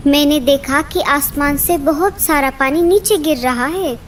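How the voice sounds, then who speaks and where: A woman's voice: high-pitched, a little slow, a little hiss or hum. A woman voicing a curious, wide-eyed young boy chick in a cartoon. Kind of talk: Hindi cartoon character voice.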